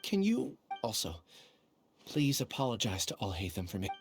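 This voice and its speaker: A man playing a hesitant, apologetic young man in a video game, gentle and full of regret. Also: lowish voice, big pitch swings, moderate pace, background noise. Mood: regretful, gentle. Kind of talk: video game character acting.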